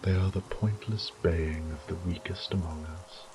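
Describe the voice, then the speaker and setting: A man playing a sadistic, condescending vampire lord in a roleplay audio drama, theatrical and taunting, with breathy whispered phrases. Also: low voice, steady tone, moderate pace, noisy background, close to an everyday voice. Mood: mocking, menacing.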